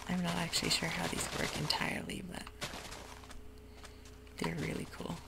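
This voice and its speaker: Low whispery woman, gravelly, noisy. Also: flat delivery. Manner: whispery, unhurried, with frequent ums. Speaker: ASMR gaming creator. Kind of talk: soft-spoken ASMR gameplay commentary. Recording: noisy home recording.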